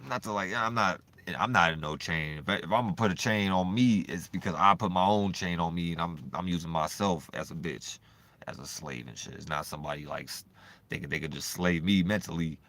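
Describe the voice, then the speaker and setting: A man vlogging in a loose, profane, rambling monologue, swinging between animated bursts and low mumbling. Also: brisk. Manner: loose, profane and repetitive, full of "you know what I'm saying".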